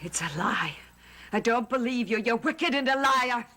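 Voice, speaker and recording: A woman's voice: on the high side, lively intonation, normal speed, sharp tone. An actress playing a cold, commanding witch who leads a coven, in a 1960s soap opera, with a cool, controlling manner. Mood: scornful. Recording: old TV soundtrack with music. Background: music.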